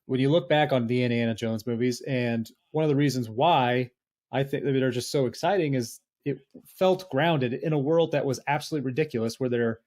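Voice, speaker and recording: Medium-pitched man chatting on a film podcast. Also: brisk, darkish tone. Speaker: podcast host. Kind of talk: casual film discussion.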